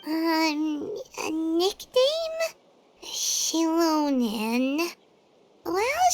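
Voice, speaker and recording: Game casting: tiny childlike fairy sidekick, extremely high squeaky voice, bright and forceful, earnest and chatty. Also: female voice, a little hiss or hum, heavily acted. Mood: hesitant, thinking. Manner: exclamatory, speaks of self in third person. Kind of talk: video game character acting.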